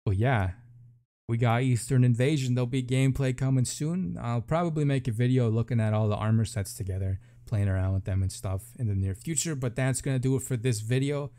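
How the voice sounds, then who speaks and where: A man's voice: mid-range voice, brisk, dark tone. A man giving casual, chatty commentary as a video game streamer, with an informal, jokey way of talking.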